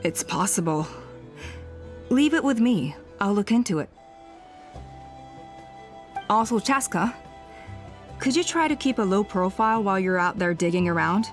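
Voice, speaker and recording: A shrewd woman who advises her tribe as a game actress plays her, in a medium-pitched voice. She is composed, cautious and articulate, with faint music underneath. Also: expressive, a little slow, pauses often, lightly acted. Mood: concerned, shrewd. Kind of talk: video game voice acting.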